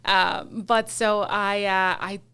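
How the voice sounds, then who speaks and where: A woman from Honduras speaks as an interview guest with a slightly gravelly, creaky edge to her voice. She talks in a friendly, measured way, often pausing on fillers, and sits at a middle pitch. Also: flat delivery, pushed and bright. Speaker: guest, utility external affairs manager. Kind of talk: casual interview chat.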